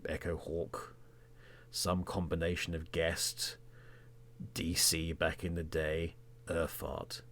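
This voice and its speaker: A man hosting a podcast, talking reflectively in a measured, conversational way, in short phrases. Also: low voice, completely flat delivery, fairly bright tone. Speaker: podcast host and presenter.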